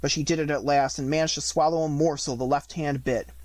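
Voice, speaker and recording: A woman reading a storybook aloud as an audiobook narrator, in an expressive scripted style. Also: low voice, fast talker, no echo.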